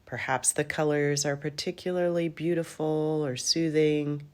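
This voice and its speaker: A woman leading a guided meditation in a calm, gentle manner, in unhurried phrases with long pauses. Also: low-pitched. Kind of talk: guided yoga nidra meditation.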